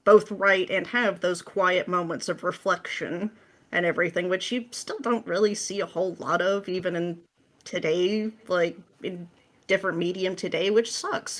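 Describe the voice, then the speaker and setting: A woman with a voice a little on the low side talks as a guest on a podcast. Her delivery is informal and candid, like relaxed conversation. She explains her views in a chatty way. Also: normal speed. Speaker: podcast guest, script writer. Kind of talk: casual interview chat.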